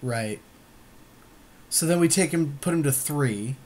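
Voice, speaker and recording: A man's voice: on the high side, lively intonation, slow pace, a little mellow. A man co-hosting a gaming podcast, chatting casually in short, agreeable remarks while playing a card game. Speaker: podcast co-host commentating on own card game play.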